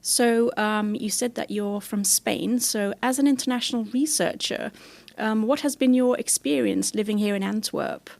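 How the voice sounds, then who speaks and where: A woman's voice: a little high, normal speed, gravelly, a little mellow, no room sound. A woman hosting a faculty podcast, asking friendly interview questions with some fillers. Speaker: podcast host.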